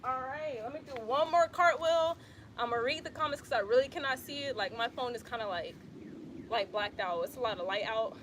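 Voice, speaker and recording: High, bright-voiced Atlanta woman. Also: fairly quick, slight background noise. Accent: Atlanta, Georgia. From Atlanta, Georgia, US. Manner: chatty and laid-back, says "y'all" and laughs often. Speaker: handstand practice vlogger. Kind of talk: casual workout instruction. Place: outdoors in bright sun on an exercise mat.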